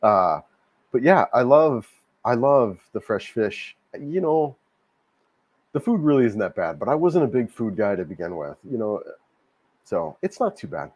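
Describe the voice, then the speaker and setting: A man hosting a casual livestream, a little hoarse from a cold, rambling and repeating himself as he answers viewer comments. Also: mid-range voice, sing-song, a little slow, darkish tone, no echo. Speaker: livestream host. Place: home in Cebu, Philippines.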